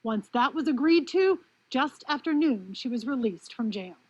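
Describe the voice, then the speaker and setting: A woman's voice: sits high, lively intonation, moderate pace, a little mellow, slightly rough, lo-fi sound. A woman with an American accent narrating a scripted television news report.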